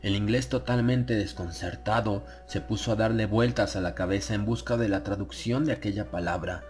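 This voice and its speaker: A man's voice: lowish voice, moderate pace, a little mellow, faint music behind. A man narrating a dramatized horror audiobook.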